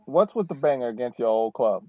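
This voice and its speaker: A man's voice: mid-range voice, expressive, a little fast, no room sound. A man co-hosting a sports podcast in casual chat, over a tinny, dry call connection.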